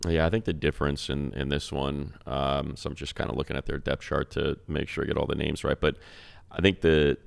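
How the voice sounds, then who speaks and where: A man's voice: very deep voice, level tone, fairly quick, creaky. A man with an American accent giving casual football analysis as a co-host on a sports podcast.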